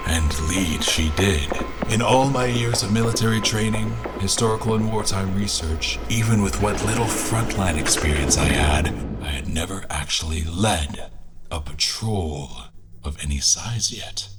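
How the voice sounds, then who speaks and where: An actor playing a reflective military strategist, narrating his adventure in a solemn, deliberate, literary way for an audio drama. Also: low voice, loud music behind the voice. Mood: solemn, admiring. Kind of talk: dramatic scripted first-person narration.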